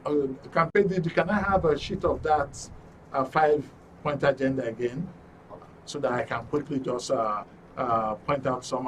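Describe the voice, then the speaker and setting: A man with a Nigerian accent hosts a talk programme at a medium pitch. He is courteous and wordy, feeling his way through questions. He sits some way from the mic, with faint noise around him. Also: distant mic, poor audio. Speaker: talk show host. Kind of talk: live studio interview.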